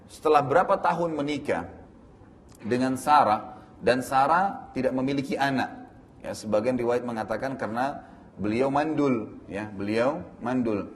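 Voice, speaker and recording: A man's voice: medium pitch, slight background noise. A man giving an Islamic history lecture, explaining the story as a teacher with frequent confirming tags. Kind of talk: religious lecture retelling prophetic history.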